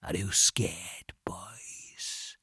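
A man's voice: mid-range voice, expressive, measured pace, creaky, husky, no room sound, clean studio sound, exaggerated voice. A man voicing a menacing British hard lad in an audiobook, taunting and lingering, often dropping to a sinister whisper. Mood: hushed, menacing. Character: menacing, taunting male hard lad leading frightened boys.

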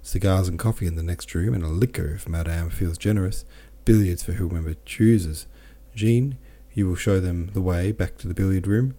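A man's voice: low-pitched, moderate pace, hardly any pauses, dark tone. A man reading the lines of a courteous male merchant who invites his dinner guests to cigars and billiards. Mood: hospitable. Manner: courteous, hospitable. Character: genial male merchant hosting a dinner party.

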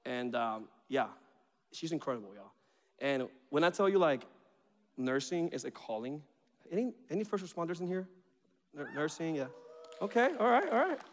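A man in his thirties from Venezuela, whose first language is Spanish, preaching in a chatty, joking way with bursts of excitement. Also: fairly high pitch, normal speed, long pauses. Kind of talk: informal sermon introduction. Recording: reverberant hall.

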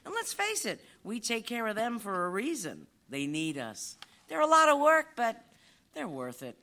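Woman playing a bright, motherly lead dog. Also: on the high side, very animated, moderate pace, heavily acted. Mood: reassuring. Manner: soothing, mentoring. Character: motherly, reassuring senior female family dog. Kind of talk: live comic radio play acting. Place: live stage performance at an audio theatre festival.

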